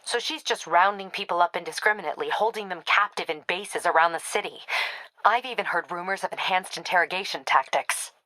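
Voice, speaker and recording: A woman's voice: lowish voice, brisk, bright, forceful tone, no room sound, low-quality recording. A woman playing a tough, businesslike female police captain in a video game, clipped and authoritative with a wry edge. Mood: serious, confiding. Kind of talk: video game dialogue acting.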